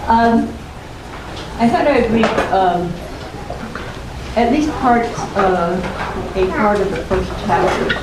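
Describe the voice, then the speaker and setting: An older woman with a low, soft voice giving an unhurried bookstore talk and reading aloud from her book. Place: bookstore event room. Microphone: room recording from a distance. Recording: roomy, distant, noisy live recording.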